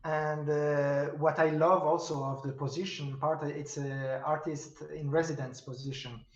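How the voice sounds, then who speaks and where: A middle-aged man talks slowly through his answers, pausing and restarting as he thinks. His voice sits a bit higher than most men's. He sounds distant and roomy through a dull, muffled video-call line. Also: flat delivery. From Spain. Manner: thoughtful, halting, many fillers and restarts. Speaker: pianist and piano professor.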